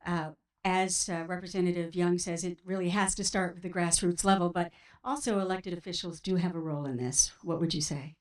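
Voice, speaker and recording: An American woman moderating a panel discussion in a measured, encouraging way. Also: lowish voice, steady tone. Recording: somewhat distant from the mic.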